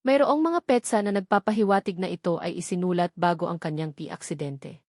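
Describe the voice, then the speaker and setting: A woman reading a scripted romance story as narrator, in a measured storytelling delivery. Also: on the low side, darkish tone, dry recording, studio quality.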